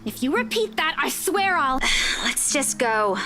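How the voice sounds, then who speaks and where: Feisty young game heroine, voiced by a woman: high, swooping delivery, indignant and quick to bristle. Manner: indignant, animated. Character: young woman, feisty, defensive, tells off her teasing friend. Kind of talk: visual novel voice acting.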